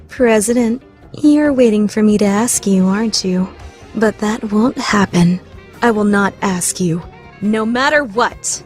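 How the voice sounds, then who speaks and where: A woman playing a proud, scheming, secretly lovestruck high school lady in a formal, theatrical anime radio play style. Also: slow pace, natural voice. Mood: smug scheming. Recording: background music under the voice.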